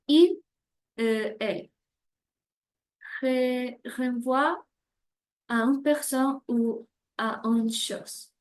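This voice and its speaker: A woman teaching French grammar, slowly reading out example phrases in a scripted lesson. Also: on the high side, darkish tone.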